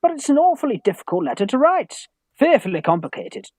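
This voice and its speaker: An actor playing a timid, earnest young English gentleman student in a theatrical Victorian farce, polite, anxious and hesitant. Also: very high-pitched, sing-song, a little fast, breathy edge, dry recording, studio quality, exaggerated voice. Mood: fretful, earnest. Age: young adult. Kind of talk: theatrical radio farce acting.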